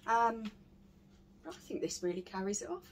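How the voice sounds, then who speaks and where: A woman's voice: medium pitch, big pitch swings, moderate pace. Woman in casual chat, noisy background. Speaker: beer reviewer. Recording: clearly audible background noise.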